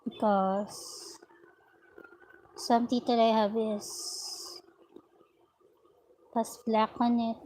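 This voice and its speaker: Slow-talking woman crocheting at home. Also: a little high, little pitch movement, darkish tone, low-quality recording. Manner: unhurried, chatty, talks while working. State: slightly raspy voice. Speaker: crochet vlogger. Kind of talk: slow casual vlog narration while crocheting. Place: at home, indoors.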